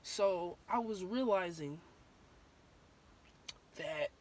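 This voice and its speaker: A young woman vlogs her thoughts in a loose, candid way, often pausing on fillers and restarting her sentences. Her voice is a touch hoarse from a sore throat. A faint background hiss sits under the recording. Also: fairly low pitch, darkish tone. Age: young adult. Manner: candid and rambling, with many ums and restarts. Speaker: vlogger. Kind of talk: casual opinion vlog.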